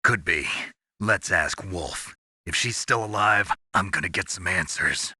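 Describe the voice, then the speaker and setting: A man playing a gruff, sardonic, tough covert-ops soldier in a video game, with a gravelly voice and terse, wry delivery. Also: low voice, unhurried, pushed and bright, no echo, studio quality, full character voice. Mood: grim, determined. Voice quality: gravelly, raspy.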